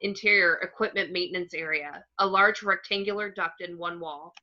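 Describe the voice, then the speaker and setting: A woman's voice: on the low side, steady tone, moderate pace, bright, forceful tone. A woman narrator reading out screenplay action descriptions on a dull, lo-fi home recording.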